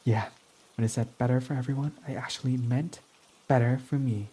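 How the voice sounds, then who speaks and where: A man's voice: mid-range voice, steady tone, a little fast, dark tone, husky, natural voice, low-quality recording. A man playing a sarcastic, snarky villain. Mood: irritated. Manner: sarcastic, mocking, dismissive. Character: snarky, prickly young male villain. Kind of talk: ASMR roleplay audio.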